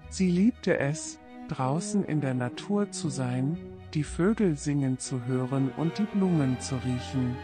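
A man's voice: mid-range voice, unhurried, few pauses. A man narrating a scripted bedtime fairy tale for children as a storyteller. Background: orchestral string serenade music.

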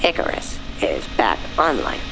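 A man voicing a flat, monotone starship computer with a deep, gravelly, robotic sound. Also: unhurried, background noise, full character voice.

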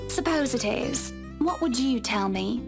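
High-pitched, sugary socialite wife. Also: female voice, lively intonation, unhurried. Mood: guarded, wistful. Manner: affected, sugary politeness. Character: genteel, wealthy socialite wife, gracious but stubborn. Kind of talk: visual novel voice acting. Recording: music clearly behind the voice.

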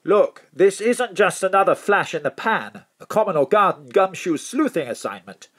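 An actor playing a hearty, plummy spy chief in an audio drama, jaunty, posh and emphatic, full of old-school slang. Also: male voice, high voice, expressive, moderate pace, a bit bright. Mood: jaunty, urgent.

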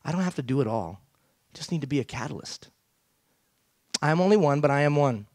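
A man's voice: a little high, expressive, fairly quick, darkish tone, dry recording, studio quality. A middle-aged man from Iran giving an emphatic motivational keynote on a large theater stage, often throwing questions out to the audience. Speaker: keynote speaker. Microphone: headset mic.

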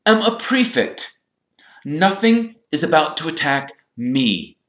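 Man doing a pompous, high-pitched prefect boy. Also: sing-song, slow pace, fairly bright tone, roomy sound. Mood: stern, affronted. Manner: stern, affronted, pompous. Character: stiff, pompous older teenage boy prefect.

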